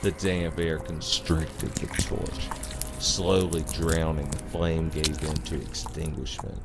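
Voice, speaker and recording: A man's voice: a bass voice, little pitch movement, slow pace, very noisy. A man narrating a scripted horror story in an ominous, theatrical manner. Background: music.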